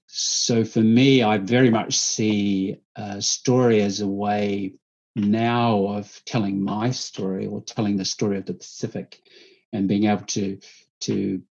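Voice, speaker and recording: A man from New Zealand, a guest storyteller, answering interview questions thoughtfully, halting often with fillers and restarts, speaking over a video call. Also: on the low side, little pitch movement, gravelly, a little mellow. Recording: dull lo-fi remote audio.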